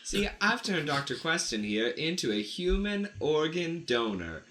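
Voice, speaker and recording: A man's voice: on the high side, very animated, a little slow, fairly bright tone. A man voicing a zealous, eccentric female mad scientist, theatrical and grandly matter-of-fact, in an improvised comedy radio play. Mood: brisk, eager.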